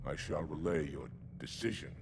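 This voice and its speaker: A deep, gravelly alien commander. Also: male voice, moderate pace, very noisy. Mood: restrained. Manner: formal, grave, clipped. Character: proud, dutiful male alien warrior commander. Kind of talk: cinematic game voice acting.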